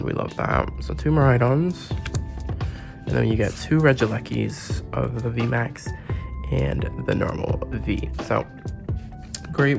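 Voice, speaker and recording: A man with a sore throat and a hoarse, sick voice, chatting casually as he unboxes and reviews a card game deck. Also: medium pitch. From Canada. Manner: chatty, gushing over cute packaging. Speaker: trading card game YouTuber. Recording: music playing underneath.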